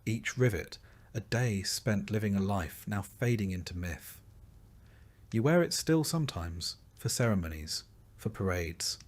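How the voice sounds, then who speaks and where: Guy with a lowish voice doing history storytelling, measured and kinda solemn, reflective. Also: lively intonation. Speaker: narrator. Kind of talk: scripted second-person history narration, storytelling.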